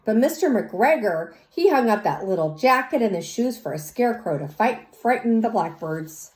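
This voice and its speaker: A woman reads a children's story aloud in an expressive, teacherly way, speaking as if to young listeners. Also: fairly high pitch. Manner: teacherly, pauses to question and comment. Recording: roomy, echoey sound.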